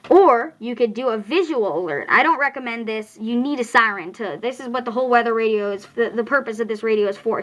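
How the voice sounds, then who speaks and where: An American speaker giving a casual, halting hands-on product review and tutorial, explaining things informally and correcting slips along the way. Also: mid-range voice, a bit bright, poor audio. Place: indoors at home.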